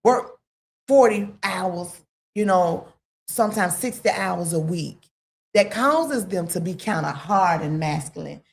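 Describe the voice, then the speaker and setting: A woman's voice: lowish voice, lively intonation, measured pace, creaky, a little hiss or hum. Woman chatting on a radio talk show, goes on and on with lots of "you know", big swoops up when she wants to stress a point. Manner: rambling and emphatic, peppered with "you know".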